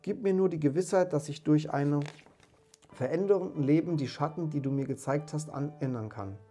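A man's voice: mid-range voice, moderate pace, darkish tone, faint music behind, close to an everyday voice. A man voicing a reformed old miser from a storybook, exclaiming with great emotion and emphasis. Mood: pleading. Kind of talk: dramatised storybook reading.

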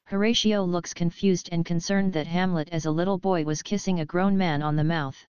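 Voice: mid-range voice, steady tone, normal speed, darkish tone, clear, resonant tone. A narrator reading the stage directions of an audio play as scripted narration. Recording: dull lo-fi narration track.

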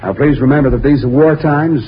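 1940s radio pitchman, lo-fi recording. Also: brisk. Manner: earnest, emphatic, polished salesmanship. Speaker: commercial announcer. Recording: lo-fi 1940s radio, faint noise.

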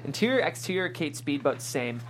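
A woman reading screenplay stage directions as lively action narration, punching out the dramatic effect words. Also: low-pitched, brisk, slight background noise.

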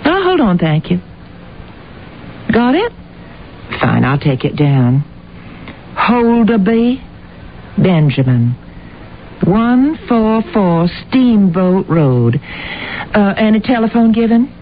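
Shrewd female sleuth, courteous, theatrical, very lively intonation, old radio drama, tinny hissy recording. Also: mid-range voice, takes it slowly, long pauses. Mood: brisk, businesslike. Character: poised woman detective, shrewd, posing as chatty housekeeper.

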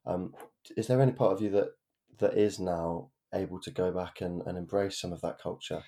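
Male podcast host, deep and mellow, easygoing, empathetic interviewer. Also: fairly even intonation, normal speed. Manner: empathetic, swears casually. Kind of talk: casual interview chat.

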